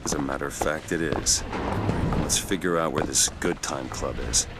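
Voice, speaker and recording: Low-voiced, weary American gumshoe. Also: male voice, steady tone, normal speed. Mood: dry, wry. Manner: terse, wry, matter-of-fact. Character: world-weary, gruff male detective for hire. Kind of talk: naturalistic video game acting. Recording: clear background noise of game ambience.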